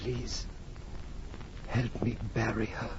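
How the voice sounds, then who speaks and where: An actor playing a tormented, grieving aristocrat haunted by dread, with brooding, halting, theatrical delivery in 1940s radio drama style. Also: male voice, mid-range voice, little pitch movement, close to an everyday voice. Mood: numb grief. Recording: noisy, muffled 1940s radio recording.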